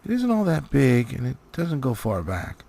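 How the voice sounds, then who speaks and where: Guy putting on a young dwarf scout from a storybook, pitched way up, excited and hurried. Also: vocal fry, full character voice. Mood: eager, dismissive.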